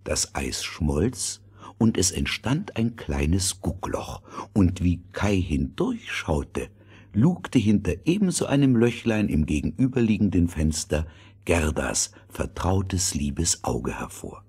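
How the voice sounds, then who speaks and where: A man narrating a fairy tale as a measured, expressive storyteller with a deep husky voice. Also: exaggerated voice. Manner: deliberate, expressive.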